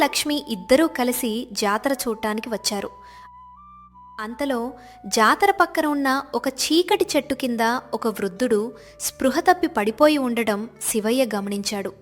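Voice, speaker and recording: A woman narrator reading a scripted moral tale as storytelling narration. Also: fairly high pitch.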